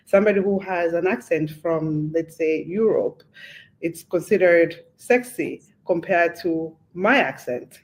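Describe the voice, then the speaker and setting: A woman's voice: lowish voice, a little slow. A woman with a Zambian accent, a podcast host speaking as a guest on a panel discussion, explaining her points earnestly.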